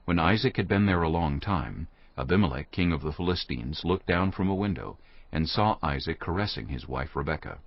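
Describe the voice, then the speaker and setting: A man's voice: sits low, lively intonation, brisk, dry recording. A man narrating a dramatized scripture reading in a solemn storytelling style. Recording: dull recording over soft music.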